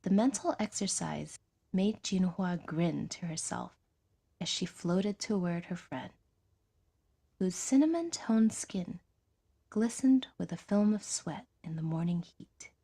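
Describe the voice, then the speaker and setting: Soft, husky female story narrator. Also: lowish voice, animated, slow pace. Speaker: audiodrama narrator.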